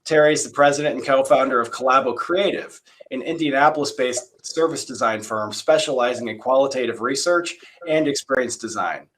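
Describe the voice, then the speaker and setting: A man's voice: medium pitch, a little fast, few pauses, fairly bright tone, slight background noise, rough recording. A man moderating a design panel, reading guest introductions and then chatting casually with many fillers and restarts.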